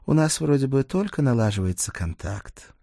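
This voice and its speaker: A man's voice: mid-range voice, lively intonation, moderate pace, vocal fry, mellow, no echo, studio quality, pitched above the performer's own voice. A man voicing a confident half-vampire woman in an audiobook, tender yet irritated, teasing and authoritative. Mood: soft, coaxing. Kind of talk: audiobook character acting.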